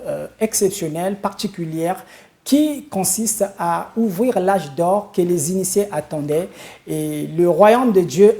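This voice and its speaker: Mellow-voiced man preaching solemnly. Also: high-pitched. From Congo-Brazzaville. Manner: solemn and emphatic, with many hesitant fillers. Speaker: spiritual leader and TV guest. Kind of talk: preaching-style answers in a studio interview. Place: TV studio.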